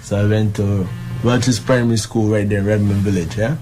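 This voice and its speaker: A man from Barbados, with an accent colored by Jamaican patois, who is a guest doctor on a radio show and talks in a relaxed, chatty way. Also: fairly low pitch, a little fast, music in the background, rough recording. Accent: Barbadian with Jamaican patois influence. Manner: relaxed, chatty, laughs easily. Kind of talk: casual radio interview.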